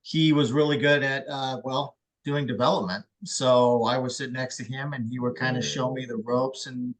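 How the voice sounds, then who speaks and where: A man's voice: mid-range voice, fairly even intonation, normal speed, rough recording. A man introducing himself to an online class, rambling with lots of fillers over a video call. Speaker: student. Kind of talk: self-introduction.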